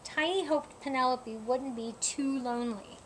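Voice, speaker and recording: A woman reading a picture book aloud in an expressive storytelling style. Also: high-pitched, moderate pace, a little hiss or hum, rough recording. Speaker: storybook reader. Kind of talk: expressive children's read-aloud.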